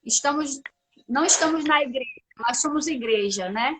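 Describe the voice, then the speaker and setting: A woman's voice: sits high, moderate pace, fairly bright tone, a little hiss or hum. A woman from Paraíba, hosting an informal religious livestream in an effusive, devout way. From Paraíba, Brazil. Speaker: livestream host. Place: remote social media livestream.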